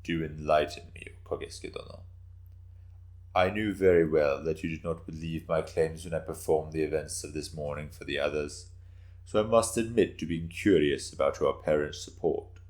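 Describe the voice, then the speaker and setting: Man as proud samurai, rumbling bass pitch, defiant, bitter, formal speech, historical story. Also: steady tone, moderate pace, darkish tone. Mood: wary, polite. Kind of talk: dramatic reading of historical fiction.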